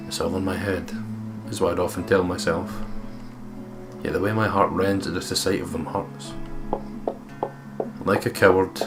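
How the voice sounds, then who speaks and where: Let's play host, male, from the UK, deep voice, reads visual novel text casually over music. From United Kingdom. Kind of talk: reading game narration aloud with casual asides. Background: game music.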